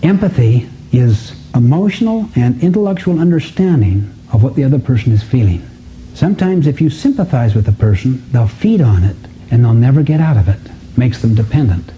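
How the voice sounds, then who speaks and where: A man speaks as a motivational lecturer in a live seminar. His voice is very dark and mellow at a middling pitch, and he leans on emphasis and rhetorical questions. The live recording is muffled, with faint room noise behind him. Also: lively intonation, fairly quick.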